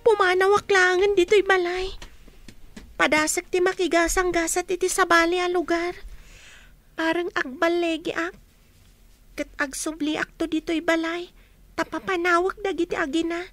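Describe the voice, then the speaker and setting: Meek young orphan girl, radio drama, very high voice, even pitch, plaintive, submissive. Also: moderate pace, no room sound. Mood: plaintive resolve. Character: meek, mistreated orphaned young niece, quietly suffering. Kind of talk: Ilocano radio drama acting.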